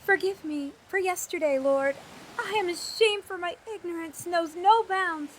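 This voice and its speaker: A woman's voice: very high voice, a little slow, a little hiss or hum. A woman voicing a devout, eager young priest girl in a fantasy audio drama, effusive, flustered and reverent. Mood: reverent, apologetic. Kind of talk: scripted fantasy audio roleplay.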